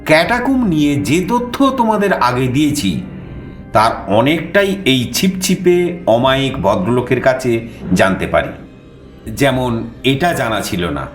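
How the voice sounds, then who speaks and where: An actor playing a lively male storyteller who recounts his adventure in animated, conversational first-person narration for an audio drama. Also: medium pitch, a little slow. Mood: curious. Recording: roomy echo, faint music behind.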